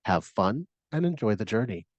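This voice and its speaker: A man's voice: on the low side, sing-song, moderate pace, no echo. A man acts out a welcoming messenger god from Greek myth in a meditation story. He is a friendly father who guides and hosts, a little raised in energy, recorded close and dry. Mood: upbeat, welcoming. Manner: hospitable, instructing.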